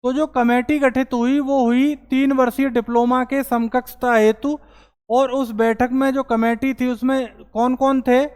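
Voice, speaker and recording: A man teaching as an exam coaching teacher, explaining recruitment news to students in an earnest, explanatory way and slowing down to stress his points. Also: extremely high pitch, little pitch movement, a little mellow, smooth, big-room sound.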